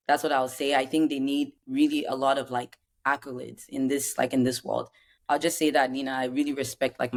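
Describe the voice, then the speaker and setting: Podcast guest, woman in her thirties from Cameroon, chatty and rambling. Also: rumbling low. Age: 30s. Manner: chatty, rambling with many fillers. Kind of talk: casual chat.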